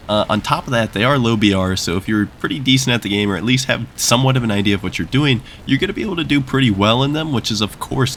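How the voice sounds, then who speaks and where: A man's voice: fairly low pitch, a bit bright, slight background noise. Congested, nasal-sounding man. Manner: casual, explanatory, rambling asides. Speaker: gaming YouTuber. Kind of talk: gameplay commentary.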